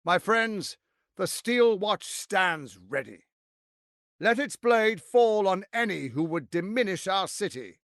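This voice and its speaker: Scheming tyrant lord, silky, condescending, theatrical video game delivery, highly animated intonation, dry studio sound. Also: male voice, slow pace, no room sound, exaggerated voice. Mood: grandly smug. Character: suave, ambitious, scheming male tyrant lord. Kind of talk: dramatic video game voice acting.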